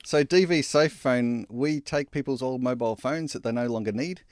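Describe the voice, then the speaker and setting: A man's voice: mid-range voice, normal speed, a little mellow, dry recording, studio quality. A man speaking as an interview guest, explaining a charity in a conversational way.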